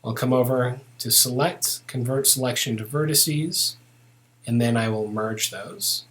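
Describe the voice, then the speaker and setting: A man teaching software in a casual, unscripted way, thinking aloud as he walks through each step. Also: medium pitch, fairly even intonation, measured pace. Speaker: software tutorial instructor. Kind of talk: narrated step-by-step screen tutorial, unscripted.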